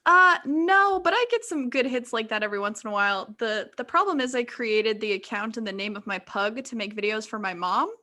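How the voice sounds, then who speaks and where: A woman's voice: a little high, fairly quick, few pauses, pushed and bright. A young woman chatting casually as a guest on a podcast. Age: young adult. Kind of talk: casual chat.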